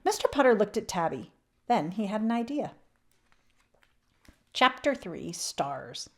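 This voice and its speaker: A woman reading a children's picture book aloud expressively, with emphatic swells and hushed drops. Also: medium pitch, big pitch swings, a little slow. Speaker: children's read-aloud channel host.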